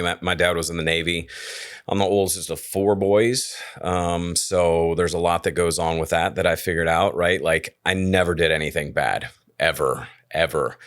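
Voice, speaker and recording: A middle-aged American man, a novelist and podcast guest, telling stories about his life in a relaxed, rambling way with frequent restarts. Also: deep voice, clean tone, dry recording, clean studio sound. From United States. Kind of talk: casual conversational storytelling.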